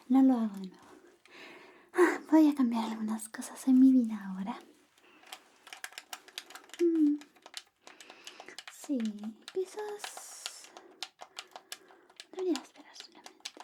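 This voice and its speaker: A woman acts out a young female best friend, secretly in love, in an ASMR roleplay. The voice is high and very mellow, slipping between murmur and whisper. The delivery is slow, coy and teasing, with a caring streak. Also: a little hiss or hum. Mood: playful, evasive. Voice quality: soft, whispery, high-pitched. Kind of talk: ASMR personal attention roleplay.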